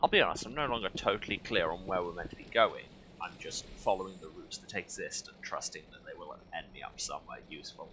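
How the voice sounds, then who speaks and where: A man's voice: low-pitched, quick pace, hardly any pauses, a little hiss or hum, rough recording. A man giving casual, rambling video game commentary with a dry, wry manner. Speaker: video game let's player. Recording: loud game music behind voice. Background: loud battle music and game sound.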